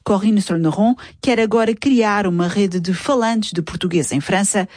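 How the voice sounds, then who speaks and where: A woman reporter reads a scripted radio feature in a steady, measured manner. Her voice sits in a middle register and the recording is dry and close. Nothing in the room colours the sound. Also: mid-range voice, dry recording. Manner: even, measured reading. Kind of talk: scripted news narration.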